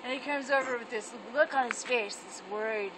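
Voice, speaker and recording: A woman's voice: a little high, a little fast, very noisy. A drunk woman telling a rambling story to friends outdoors, her words loose and trailing off into half-sung lines. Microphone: phone camera held by a companion.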